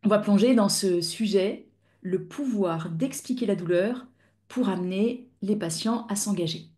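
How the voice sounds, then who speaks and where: A middle-aged woman speaks into a video-call mic as she hosts a live webinar for fellow clinicians. Her tone is mellow and relaxed. She explains things in a measured, patient way and pauses often to search for words. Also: mid-range voice. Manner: measured, explanatory, with frequent hesitations. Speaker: physiotherapist and pain-education instructor, webinar host.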